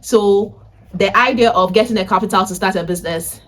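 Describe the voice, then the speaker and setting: A woman's voice: medium pitch, brisk. A woman in her twenties talking casually to viewers in a chatty vlog style, often checking in with a tag question. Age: 20s. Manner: chatty, addresses viewers directly, often tags phrases with "right?". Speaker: vlogger and data analytics teacher. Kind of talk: casual vlog to camera, recap of personal updates. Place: indoors, a room in a family house.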